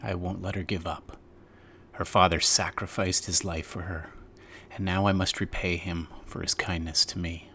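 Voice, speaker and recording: A man playing a somber survivor who continues a missing friend's war journal, hushed and deliberate. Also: low voice, flat delivery. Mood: grieving, resolute. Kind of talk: dramatized journal narration. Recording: music under quiet voice.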